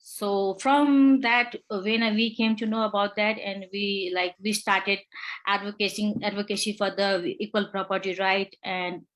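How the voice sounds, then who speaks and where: A woman's voice: mid-range voice, steady tone, a bit bright, poor audio. Young woman from Nepal, human rights lawyer, hesitant interview answers full of fillers. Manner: halting, many fillers and restarts.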